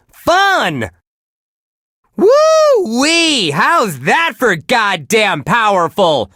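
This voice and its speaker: A man's voice: very high voice, pushed and bright, no echo, clean studio sound, full character voice. An actor playing a relentlessly cheerful male radio DJ at a death metal station, gushing and wholesome in his patter. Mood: gushing, upbeat. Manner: gushing, sunny, wholesome delivery. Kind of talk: upbeat radio host patter.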